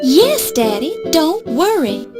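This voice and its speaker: An eager young woman in a game scene. Her voice is very high, girlish and animated, set against prominent synthesized music. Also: takes it slowly, exaggerated voice. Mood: patient, breezy. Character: eager, confident young daughter leaving home. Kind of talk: video game voice acting. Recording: loud music under the voice. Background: loud synthesized game music.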